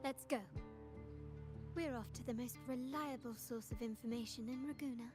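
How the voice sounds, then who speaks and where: An actress voicing a composed, purposeful young woman guide in a video game, explaining things in a measured, knowing manner. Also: on the high side, fairly even intonation, natural voice. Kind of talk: scripted video game voice acting. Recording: game audio with background music.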